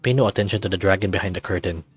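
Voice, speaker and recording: Man doing a low, gruff, taciturn dragon captain. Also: racing. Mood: curt, guarded. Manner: clipped, reluctant, deadpan.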